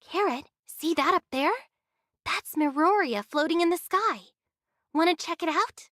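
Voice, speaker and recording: A woman voicing a brisk, teasing young female adventurer in an anime game, pert and sceptical. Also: very high-pitched, a bit bright, dry recording, clean studio sound.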